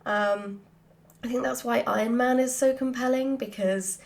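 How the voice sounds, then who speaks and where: Animated woman chatting through a Q&A. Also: fairly high pitch, normal speed, gravelly. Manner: animated, giggly, self-deprecating. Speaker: writer hosting a follower Q&A. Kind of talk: casual chat to camera, answering questions.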